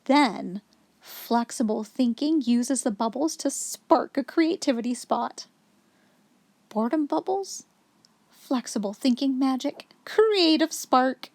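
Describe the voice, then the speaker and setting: High, animated woman reading a children's story. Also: slow pace, dry recording. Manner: clear, instructive storytelling for young children. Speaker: children's story reader, host. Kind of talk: expressive read-aloud of a children's picture book.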